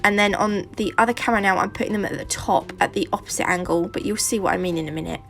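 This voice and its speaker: A British woman narrates a home nail tutorial, her voice gravelly and thickened by a sore throat and a stuffy nose. She rambles cheerfully, trailing off into fillers and asides. Faint music plays softly behind her. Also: medium pitch, fast. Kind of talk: casual how-to narration.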